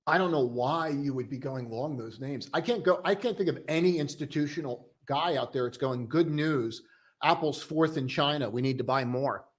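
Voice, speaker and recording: A young man casually hosting a live stock-trading stream, chatting loosely and joking with viewers in a relaxed, tired way. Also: medium pitch, moderate pace, husky. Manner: loose, joking, full of restarts and "dude". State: tired, low on coffee.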